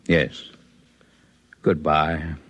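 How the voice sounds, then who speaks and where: An actor playing a gentle, wistful alien visitor who speaks in formal, measured phrases in a 1950s radio drama. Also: male voice, very deep voice, monotone, dull audio, exaggerated voice. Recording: noisy vintage radio broadcast recording.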